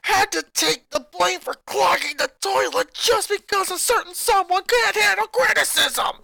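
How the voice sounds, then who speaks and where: A man's voice: very high voice, moderate pace, creaky, no room sound, clean studio sound, exaggerated voice. A man voicing an angry eleven-year-old boy who rants and accuses his sisters in a fanfic reading. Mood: furious. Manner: ranting, accusing, voice rising to shouts.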